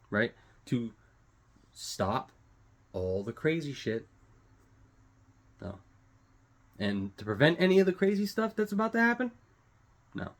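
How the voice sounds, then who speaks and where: An American man giving an unscripted, blunt opinion rant, swearing heavily and repeating phrases for emphasis. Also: medium pitch. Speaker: vlogger giving commentary.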